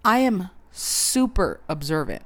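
A woman's voice: on the low side, very animated, takes it slowly. A young woman chatting casually as a podcast co-host, rambling, teasing and giggling often. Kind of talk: casual banter.

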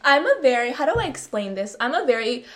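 Chatty young woman, slightly high voice. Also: fast. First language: Vietnamese. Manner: chatty, animated, peppered with slang and "like". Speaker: podcast co-host. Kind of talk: casual chat.

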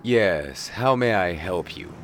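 A stiff, droll manservant of a rich house, in a period drama. His voice is very deep and measured, with formal, deadpan delivery over faint background noise. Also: full character voice. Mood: stiff, disdainful. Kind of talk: 1930s audio drama acting.

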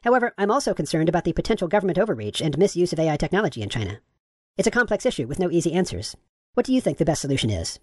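A man reading the lines of a courteous, gender-neutral AI chatbot, with his voice pitch-shifted upward. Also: big pitch swings, racing, a little mellow, dry recording, clean studio sound. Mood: polite, agreeable. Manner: courteous, affirming, asks follow-up questions. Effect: pitch raised.

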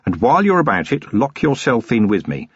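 A man voicing a gruff, exasperated senior police commander who speaks forcefully and bluntly in an audiobook story. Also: medium pitch, sing-song, a little fast, no room sound, muffled recording. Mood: urgent, blunt. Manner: forceful, sweary, lecturing.

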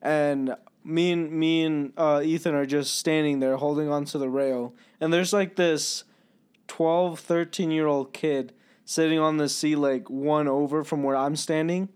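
A young man in his twenties tells a story in a relaxed podcast chat. His voice sits a little high for a man, and he laughs his way through the funny parts. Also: unhurried, smooth. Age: 20s. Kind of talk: casual chat.

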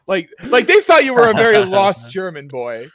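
A young man chatting casually with friends, quick to laugh and to break into goofy accents. His voice sits high and swings widely in pitch. The sound is dry and a little dull. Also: huge pitch swings, fairly bright tone, no echo. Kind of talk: casual chat among friends.

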